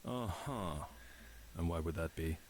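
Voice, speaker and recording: A man playing a gruff, guarded nightclub enforcer in a video game, curt in his delivery. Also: low voice, animated, a little slow, slight background noise. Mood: dismissive. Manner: curt, skeptical.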